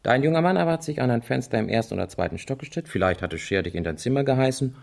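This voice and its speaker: Wry laid-back guy in a radio crime drama, dark relaxed voice, thinks out loud drily, very methodical. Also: mid-range voice, vocal fry. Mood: pondering. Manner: deliberate, dry, thinking aloud. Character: wry, easygoing man turned methodical amateur analyst.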